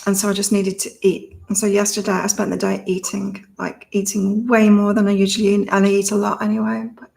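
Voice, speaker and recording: Middle-aged British-born woman, psychic livestream host, monotone, loose and repetitive. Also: medium pitch, fairly quick, vocal fry, hardly any pauses. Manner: rambling, thinks aloud, repeats herself. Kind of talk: casual live chat reading viewer comments.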